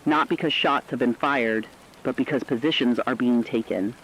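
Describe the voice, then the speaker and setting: A man playing a sober radio analyst, giving formal, deliberate commentary in a scripted emergency broadcast. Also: medium pitch, a bit bright, a little hiss or hum, lightly acted, poor audio. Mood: sober, ominous.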